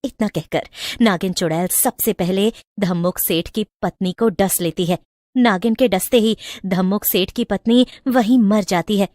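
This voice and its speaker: A woman's voice: mid-range voice, measured pace, a little mellow, dry recording, clean studio sound. A woman storyteller reading a scripted moral tale in a dramatic narrating style.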